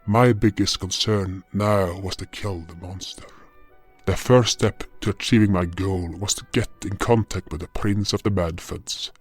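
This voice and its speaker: Deep-voiced, solemn guardian hero acted by a man. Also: fairly even intonation, measured pace, breathy edge. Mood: brooding. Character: solemn powerful male guardian hero recounting his journey. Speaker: narrator and lead actor. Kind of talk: scripted fantasy audio drama narration. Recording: music behind the voice. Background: music.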